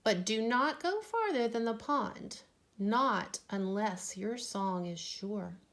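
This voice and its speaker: A woman voicing a gentle, wise mother bat in a storybook, speaking softly and soothingly to her child. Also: a little high, animated, slow, full character voice. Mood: tender, reassuring. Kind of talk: storybook read-aloud.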